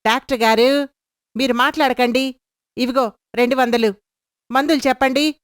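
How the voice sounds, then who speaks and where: A woman voicing a worried, sharp-tongued wife who pleads and scolds. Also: sits high, steady tone, normal speed, close to an everyday voice. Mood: firm.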